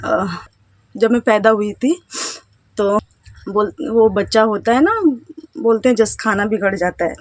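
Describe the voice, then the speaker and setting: A woman in her thirties from India, a housewife telling her life story to camera in a halting, hesitant way. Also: mid-range voice, steady tone, dry recording. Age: 30s. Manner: hesitant, halting, with self-conscious laughs and trailing phrases. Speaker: vlogger, housewife. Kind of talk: casual personal storytelling to camera.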